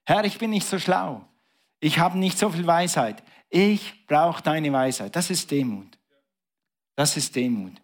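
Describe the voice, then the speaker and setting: A man's voice: lively intonation, measured pace. Man preaching with dramatic swings. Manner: preaching with big swings in pitch and volume, dropping to a hush. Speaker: pastor. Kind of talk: sermon.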